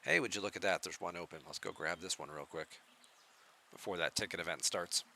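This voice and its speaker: A man chatting casually on a live game stream, answering viewers in a relaxed, soft-spoken way at a home computer mic. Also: a little fast, slight background noise. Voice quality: soft-spoken, low volume.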